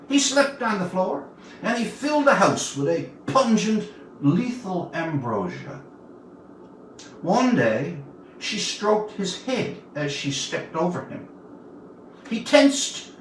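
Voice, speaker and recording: A man in his seventies narrates a story with a fairly high voice that swings widely in pitch. He takes his time, setting short phrases apart with deliberate dramatic pauses. The recording is roomy and a little distant from the microphone. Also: a bit bright. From Newfoundland, Canada. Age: 70s. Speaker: author reading own story. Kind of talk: expressive storybook narration, reading aloud. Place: indoor room, livestream reading. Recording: roomy, distant mic with faint noise.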